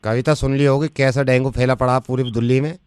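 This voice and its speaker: Man playing a strict, fast-talking senior doctor. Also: medium pitch, steady tone, darkish tone, clean tone, no echo, voice pushed down, lightly acted. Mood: stern, interrogating. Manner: rapid-fire questioning in rustic colloquial Hindi. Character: strict, bullying senior hospital doctor grilling a trainee.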